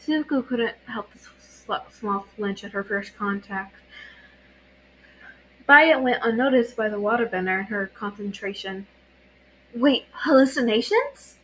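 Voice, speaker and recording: A woman's voice: mid-range voice, a little slow, a bit bright, a little hiss or hum, poor audio. A woman narrating fan fiction as an amateur reader, often stumbling and rereading words. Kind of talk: story reading.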